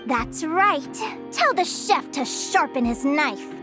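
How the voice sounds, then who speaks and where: Linnea, bright young winged girl. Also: high-pitched, animated, slow. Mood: cheerful. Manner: cheerful, eager, a bit flustered at times. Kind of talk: video game voice acting. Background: game music.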